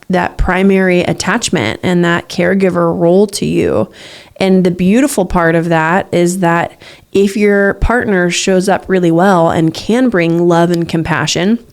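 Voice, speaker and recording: Young woman cohosting a relationship podcast, earnest and encouraging, kinda low voice. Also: measured pace, a little mellow. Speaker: podcast co-host. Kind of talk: casual podcast chat about relationships.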